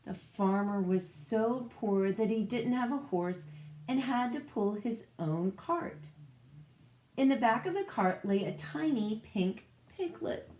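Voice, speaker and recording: A woman teacher reading a picture book aloud to children, expressive and often putting questions to her listeners. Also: on the high side, takes it slowly, slight background noise.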